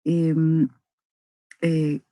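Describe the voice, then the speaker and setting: Dry, measured young woman speaker. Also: sits low, monotone, dark tone, no echo. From northern Chile. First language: Aymara. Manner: measured, with frequent hesitations and restarts. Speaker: invited speaker at a cultural forum. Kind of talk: formal talk.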